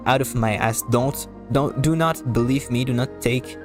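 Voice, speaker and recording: A man giving casual live commentary as a game streamer, rambling and halting as he repeats words. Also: medium pitch, music in the background.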